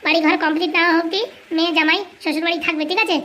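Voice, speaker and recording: A woman's voice: very high voice, flat delivery, racing, a bit bright, slight background noise. A woman playing a bossy, insistent male fixer who reassures everyone in a comic cartoon. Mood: bossy, reassuring. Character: bossy, brisk male fixer arranging a village wedding.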